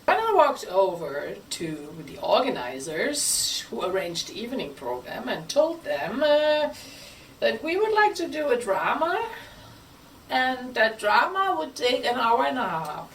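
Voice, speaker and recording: Female storyteller, theatrical and playful, very expressive pitch, recorded at a distance from the mic. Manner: theatrical, dramatic pauses, playful acted asides. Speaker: theatre company member telling its history. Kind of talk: scripted storytelling to an audience. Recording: faint background noise, distant microphone.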